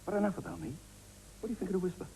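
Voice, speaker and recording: A man's voice: high-pitched, huge pitch swings, racing, heavily acted. An actor playing a suave, earnest romantic man, intimate and melodramatic, in a comic TV advert. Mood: smooth, intense. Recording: distant, hissy old VHS tape.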